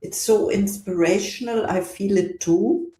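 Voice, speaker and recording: Casting: woman podcast host, slow and encouraging, recorded in a roomy, echoey space. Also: medium pitch, mellow. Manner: encouraging, complimentary. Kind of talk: casual interview conversation. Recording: roomy echo.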